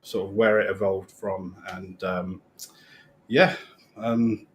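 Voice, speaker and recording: Middle-aged british guy rambling on a stream, trails off soft, bit of hiss behind. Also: deep voice, fairly even intonation, slow, low-quality recording. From England. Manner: rambling, self-interrupting, trailing off quietly. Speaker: guest. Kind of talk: casual live-stream chat.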